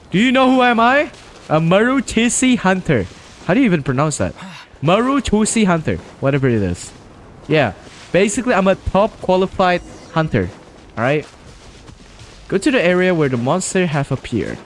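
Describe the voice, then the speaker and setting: A male streamer giving casual live-stream commentary, slangy and joking, reacting loudly as he plays. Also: high voice, very animated, normal speed, pauses often, slight background noise.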